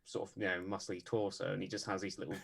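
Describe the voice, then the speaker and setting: Low, flat deadpan man. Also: gravelly, husky. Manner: measured, lots of ums and hedges. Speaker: animator and podcast guest. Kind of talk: casual interview chat.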